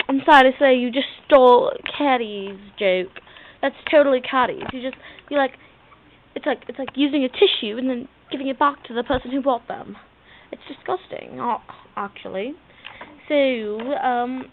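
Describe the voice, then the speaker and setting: Through tinny audio with faint noise comes a vain British diva, acted by a woman. The voice is high and haughty, with theatrical, catty delivery in a homemade comedy debate. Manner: haughty, theatrical, calls everyone darling. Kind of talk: amateur comedy debate skit.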